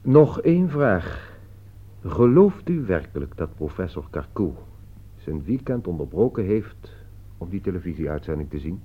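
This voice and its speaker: A man's voice: low-pitched, big pitch swings, a little slow, breathy edge, full character voice. A man acting in a Dutch radio play as a shrewd, composed author who reasons like a detective, with pointed questions. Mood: probing. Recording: dull lo-fi radio play recording.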